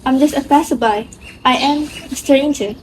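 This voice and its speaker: A woman plays an anxious, unwilling young stranger in an amateur drama. Her voice is high, with clear outdoor noise and birdsong behind it. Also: moderate pace, lightly acted. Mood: defiant.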